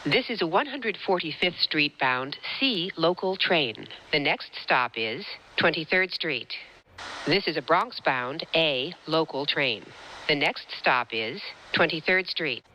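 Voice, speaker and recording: Low, bright-voiced woman announcing trains. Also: lively intonation, slow pace, poor audio. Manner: clear, formal, clipped phrase-by-phrase delivery. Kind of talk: scripted transit announcement. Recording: faint background noise, recorded aboard trains.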